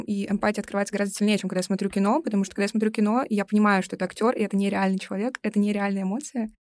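A woman's voice: mid-range voice, a little mellow, no room sound, studio quality. A young woman co-hosting a podcast, explaining her views articulately in a casual discussion. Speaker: podcast co-host.

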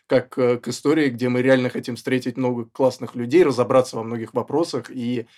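A man's voice: mid-range voice, fairly even intonation, fairly quick, darkish tone. A man co-hosting a podcast in casual, conversational talk. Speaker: podcast co-host.

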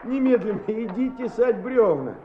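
Echoey old radio drama: good-natured but exacting school director, instructive, exclamatory, lively pitch swings. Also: male voice, normal speed, some chatter behind, a little hiss or hum. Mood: urging, brisk. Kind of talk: Soviet-era radio play acting. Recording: muffled, echoey old radio recording, background bustle.

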